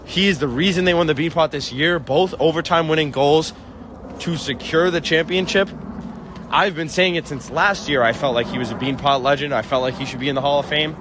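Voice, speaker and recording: A man's voice: fairly high pitch, fairly quick, background noise. A man, a guest on a sports podcast, making firm, emphatic calls in casual chat. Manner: emphatic, declarative predictions.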